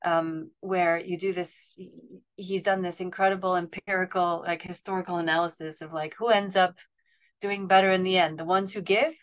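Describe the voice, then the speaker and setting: A woman's voice: on the low side, flat delivery, normal speed. A woman in her forties speaking candidly on a webinar video call, rambling a little with frequent restarts and fillers. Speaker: webinar panelist. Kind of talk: casual panel talk. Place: home room with bookshelves. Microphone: video call webcam.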